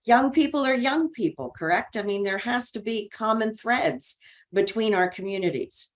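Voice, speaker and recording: A woman hosting a webinar interview, asking questions in a warm, encouraging way. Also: fairly high pitch, expressive, normal speed. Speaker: host.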